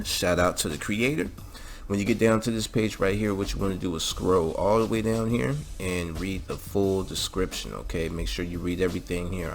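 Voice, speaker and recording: A man narrating a casual step-by-step tutorial as a channel host, with an informal, instructional way of talking. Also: low-pitched. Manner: informal, instructional, often tagging phrases with "all right" and "okay". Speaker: host of a game-modding channel. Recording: music playing behind the voice. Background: background music.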